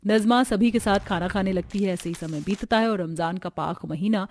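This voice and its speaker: A woman reading scripted narration for an animated family story, in a storytelling style. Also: medium pitch, brisk, soft background music.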